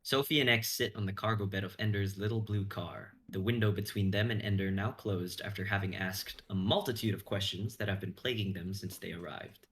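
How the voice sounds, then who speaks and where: Young male narrator for script readings, fairly low voice, reads scene descriptions and stage directions. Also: steady tone, brisk, few pauses, a bit bright. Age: young adult.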